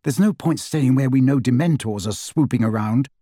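A man voicing a clever, anxious teenage girl witch who talks briskly and impatiently. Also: mid-range voice, dark tone, no echo, studio quality, natural voice.

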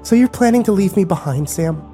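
Male narrator doing a sharp-tongued young woman, high-pitched, furious and defiant. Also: expressive, brisk, soft background music. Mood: bitter, steadier. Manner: shouting, swearing, biting.

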